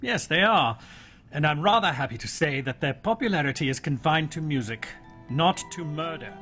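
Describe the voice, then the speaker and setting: Man playing genial inspector, fairly high voice, measured, dry humor, period radio drama, faint music. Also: lively intonation, fairly bright tone. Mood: wryly pleased. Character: genial, self-assured police inspector.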